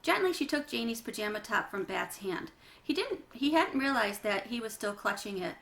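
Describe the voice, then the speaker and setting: A woman's voice: a little high, expressive, moderate pace, gravelly, fairly bright tone. A woman reading a children's book aloud, narrating with chatty asides to young listeners. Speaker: children's read-aloud reader.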